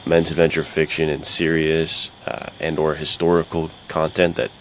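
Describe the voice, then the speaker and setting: A man's voice: rumbling low, little pitch movement, normal speed, a little hiss or hum. A man talking as a podcast co-host in an earnest, informal, conversational way. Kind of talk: casual podcast chat.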